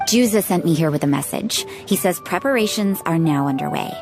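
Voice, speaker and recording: Young ship accountant, low-ish voice, game music. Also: female voice, lively intonation, brisk. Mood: brisk. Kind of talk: video game cutscene dialogue. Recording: game audio with background music.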